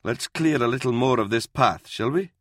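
A man voicing a brisk, composed army captain in an audiobook, giving clipped commands. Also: medium pitch, no room sound, clean studio sound, voice pushed up, close to an everyday voice. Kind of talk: audiobook character voice in military science fiction.

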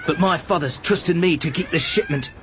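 A man voicing a stubborn, angry young man, shouting defiantly in a dramatised audiobook. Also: fairly high pitch, moderate pace, a little hiss or hum, voice pushed up, close to an everyday voice. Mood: defiant, pleading. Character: angry, stubborn young man defending his father's work.

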